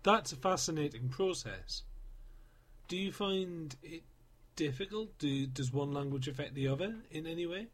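A man's voice: a little high, expressive, moderate pace. A man hosting a show, putting brief, formal, prepared questions to a guest author. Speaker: interviewer, show host. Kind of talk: reading prepared interview questions.

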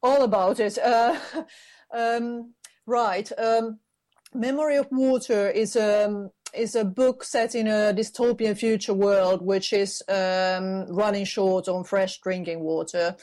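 A woman author, answering an interviewer's questions. She speaks thoughtfully and hesitantly, pausing often and restarting her sentences while she searches for the right words. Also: mid-range voice, steady tone, a little mellow. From Finland. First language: Finnish. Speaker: author guest.

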